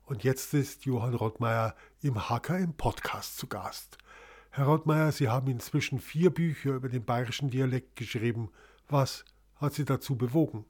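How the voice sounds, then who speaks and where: A man's voice: mid-range voice, normal speed, a little mellow, breathy edge. A man hosting a podcast, reading introductions and putting prepared questions to a guest. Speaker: podcast host, interviewer.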